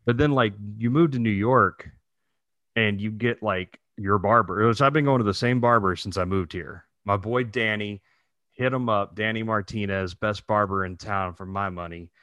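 A man from Texas with a fairly low voice, talking casually on a podcast. The sound is dry and free of room echo. His speech is slangy and full of easy swearing. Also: normal speed, a bit bright. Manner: slangy, freely profane. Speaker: podcast host. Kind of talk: casual conversational podcast chat.

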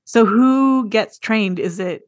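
A woman's voice: on the high side, takes it slowly, no room sound, studio quality. A woman hosting a podcast, interviewing her guest in a conversational way.

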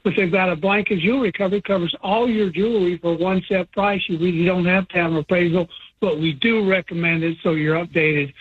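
A man's voice: sits high, fairly even intonation, fairly quick, breathy edge, no echo. A man calling in to a radio show as an insurance agent, explaining coverage in an earnest, step-by-step way. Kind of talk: phone-in explanation. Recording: phone line.

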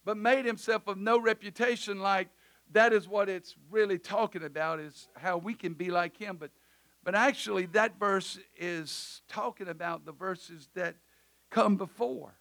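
A preacher with a loud, high-pitched voice that cuts bright and sharp through the sanctuary. He swings from fervent shouting down to soft, offhand remarks. His is easy to hear in his casual phrasing. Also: a little slow. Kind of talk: sermon in church service. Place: church sanctuary.

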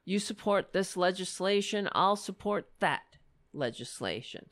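A woman hosting a political talk show, speaking with fiery, rallying conviction and frequent restarts, her voice going hoarse. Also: mid-range voice, lively intonation, unhurried, a bit bright. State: losing her voice. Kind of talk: impassioned political commentary.

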